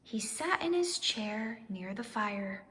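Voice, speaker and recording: A woman reading a children's story aloud in expressive narration, with deliberate, dramatic phrasing. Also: medium pitch, long reverb tail. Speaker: storybook reader.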